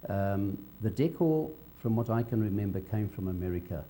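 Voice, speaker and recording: An older man with a South African accent recalls his working life in an interview, speaking in a measured, halting way. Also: lively intonation, mellow. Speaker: interviewee, veteran cinema cameraman. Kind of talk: on-camera reminiscence. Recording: dull low hum, faint background noise.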